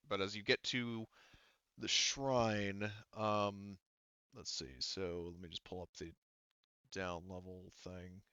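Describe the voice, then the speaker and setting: Low, smooth-voiced male game master. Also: bright, forceful tone, no echo, muffled recording. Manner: halting, conversational, often rephrasing mid-thought. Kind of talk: improvised tabletop game narration and casual rules talk.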